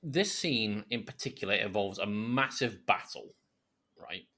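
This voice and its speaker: A man with a fairly low but bright, forceful voice trades casual banter as a podcast co-host. He is blunt and jokey, airing mock gripes with animation. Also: animated, measured pace.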